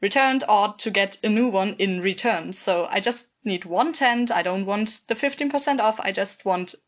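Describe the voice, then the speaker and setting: A woman's voice: mid-range voice, moderate pace, natural voice. A woman improvising a role-play as a stubborn, blunt customer who insistently repeats her demands. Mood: firm, insistent. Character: stubborn, blunt female customer returning a tent. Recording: dull lo-fi sound.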